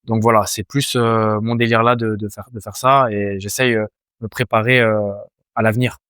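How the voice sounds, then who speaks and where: A man's voice: fairly low pitch, level tone, normal speed, a bit bright, clear, resonant tone, no echo, studio quality. A man in his twenties from France, a fighter answering interview questions casually, hesitant with many fillers and restarts. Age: 20s. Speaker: Muay Thai fighter, guest.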